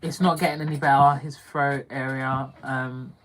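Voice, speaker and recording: Low-voiced chatty woman. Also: fairly even intonation, moderate pace, low-quality recording. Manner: chatty, laughs often, jumps in to explain. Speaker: co-host of family channel. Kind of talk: casual live chat.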